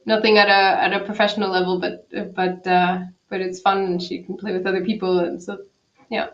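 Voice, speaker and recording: A woman's voice: mid-range voice, level tone, a little fast. Woman guest on a dull video call. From Quebec, Canada. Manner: thoughtful, many restarts, soft laughs. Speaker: guest cellist. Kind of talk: casual interview answers. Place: home in Berlin.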